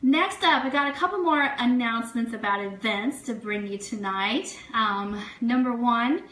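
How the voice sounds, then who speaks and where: A woman with a fairly high, bright voice hosts a live online fan show. She moves between chatty asides to viewers and announcements read from notes, in an upbeat way. The sound is echoey and picked up at some distance from the mic. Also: moderate pace. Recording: roomy, distant mic with faint noise.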